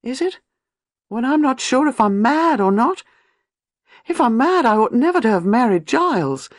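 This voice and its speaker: A woman's voice: on the high side, big pitch swings, moderate pace, no echo, studio quality, voice pushed up. A woman voicing a panicked, frightened young woman. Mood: distraught. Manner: breathless, pleading, rising panic.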